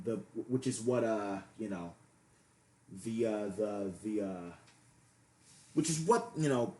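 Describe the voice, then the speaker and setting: A man's voice: medium pitch, a little mellow. A man giving an unscripted, rambling explanation, hesitant and often stammering over repeated words, as an amateur creator talking to viewers. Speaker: amateur animation creator and video host. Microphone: computer microphone. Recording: roomy, echoey home PC recording.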